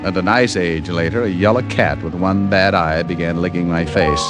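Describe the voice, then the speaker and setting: Male lead actor as cynical gumshoe for vintage radio mystery: deepish pitch, wry wisecracks, dry delivery, prominent music underneath. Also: moderate pace, close to an everyday voice. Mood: wry narration. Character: wisecracking, cynical hard-boiled private detective, narrating his case. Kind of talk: old-time radio detective drama acting and narration.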